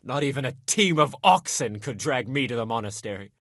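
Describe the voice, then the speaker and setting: A man's voice: medium pitch, lively intonation, fairly quick, a bit bright, dry recording, clean studio sound. A man playing a lusty, self-pitying young nobleman in a video game, complaining dramatically in coarse, colloquial speech. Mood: defiant, rowdy. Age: young adult. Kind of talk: video game character dialogue.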